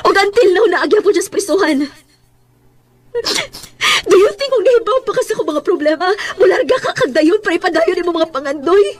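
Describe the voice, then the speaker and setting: A woman's voice: very high-pitched, animated, brisk, faint music behind. A woman acting in a radio drama as an emotional, pleading mother hiding a painful past. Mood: tearful, anguished. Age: adult, mother of a school-age child. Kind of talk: radio drama acting in Bisaya with some English.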